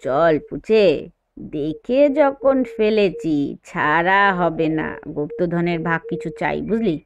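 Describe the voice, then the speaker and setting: A woman voicing an excitable, greedy young uncle in an audio story, blurting and halting, sometimes conspiratorial. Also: lowish voice, pitched below the performer's own voice. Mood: hushed, scheming. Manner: blurting, halting, dropping to hushed conspiratorial tones.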